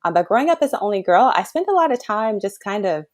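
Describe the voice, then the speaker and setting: A woman's voice: mid-range voice, very animated, fast. A woman in her thirties from the south suburbs of Chicago, a children's kidney doctor talking earnestly in a casual interview and laughing easily. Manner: thoughtful, earnest, laughs often. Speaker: guest, pediatric kidney doctor.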